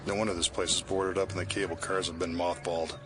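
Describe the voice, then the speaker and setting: A man acting a low, plainspoken senior investigator. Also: flat delivery, fast talker, noisy background, close to an everyday voice. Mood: businesslike. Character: concerned, weary senior male federal investigator. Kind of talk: immersive audio drama acting.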